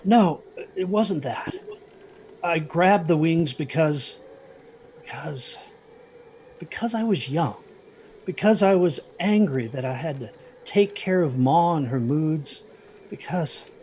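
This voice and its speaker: A man's voice: fairly high pitch, expressive, takes it slowly, long pauses, a little mellow, slight background noise. An actor playing a protective, haunted father who tells his children a ghost story in a hesitant, earnest way, in an audio drama. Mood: regretful. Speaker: storyteller narrator.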